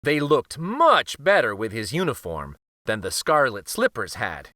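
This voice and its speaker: A man narrates a picture book for children with a very animated, swooping delivery. His voice is bright and closely recorded in a dry space, and he takes his time. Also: medium pitch, measured pace, no room sound. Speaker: storyteller, read-aloud host.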